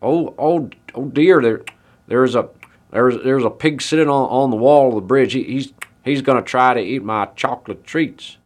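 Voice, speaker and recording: A man's voice: mid-range voice, normal speed, pitched above the performer's own voice, natural voice. A man voicing a timid storybook candy maker who stammers and repeats his words in a fluster. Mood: worried.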